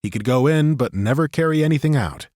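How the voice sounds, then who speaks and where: A man narrating a fantasy audiobook in a measured, even way. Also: medium pitch, no echo, clean studio sound. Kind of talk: scripted narration.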